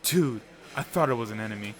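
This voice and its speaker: A man's voice: medium pitch, lively intonation, brisk, crowd noise, close to an everyday voice. A man playing a laid-back, joking friend in an audio drama, talking in casual, teasing banter. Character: Ed, joking, laid-back male buddy of the hero.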